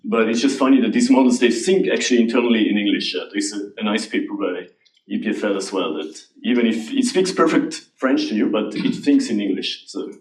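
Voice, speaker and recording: A man from Switzerland, answering audience questions about AI in a halting, restarting way. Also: lowish voice. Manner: halting, restarts often, laughs lightly. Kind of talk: conference Q&A. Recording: roomy hall, voice from a distance.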